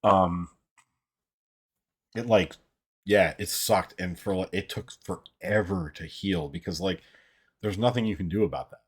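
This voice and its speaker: A man with a low, deep voice and a somewhat monotone delivery hosts a casual livestream. He rambles and halts as he talks, swearing freely. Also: unhurried, long pauses, breathy edge. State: voice somewhat out of sorts. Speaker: livestream host. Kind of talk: casual livestream chat, reading viewer comments.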